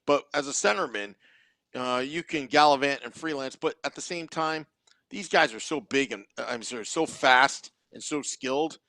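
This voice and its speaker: A man's voice: mid-range voice, fairly bright tone, dry recording. A man hosting a live hockey game-day show, talking into a close studio microphone. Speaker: sports podcast host.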